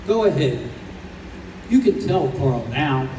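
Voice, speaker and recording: Man as very mellow, kindly spirit guide. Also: medium pitch, very animated, slow pace. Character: kindly, reassuring spirit guide overseeing reborn souls. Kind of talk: old-time radio play acting. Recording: roomy live stage recording, distant, faint noise.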